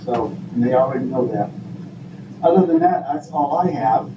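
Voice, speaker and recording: An older man from Vermont speaking informally at a public meeting, in a halting, folksy, rambling way. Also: mid-range voice. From Vermont, United States. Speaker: school board member leading the meeting. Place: indoor meeting room. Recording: echoey room, distant mic, noisy background.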